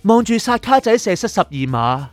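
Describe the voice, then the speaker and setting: A man's voice: sits high, big pitch swings, moderate pace, over music. A man with a Hong Kong accent playing an exasperated, henpecked boyfriend, telling his love woes in a colloquial, self-mocking radio drama style. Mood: dejected, self-mocking. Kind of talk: dramatised first-person comic storytelling.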